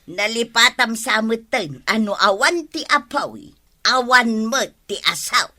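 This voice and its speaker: A woman's voice: a little high, animated, measured pace, sharp tone, heavily acted. A woman playing a nagging wife in a radio comedy drama, scolding and questioning.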